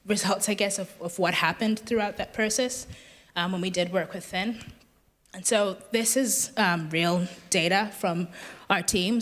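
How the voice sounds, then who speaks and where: A woman's voice: lowish voice, fairly bright tone. A woman presenting at a business event, explaining things in a conversational way. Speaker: presenter from a software company's customer support side.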